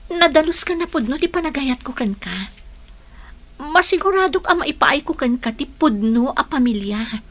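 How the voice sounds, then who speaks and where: A woman's voice: sits high, lively intonation, normal speed, a bit bright. A woman playing a lovelorn, determined young bride in a radio drama, earnest and pleading. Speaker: audio drama actor.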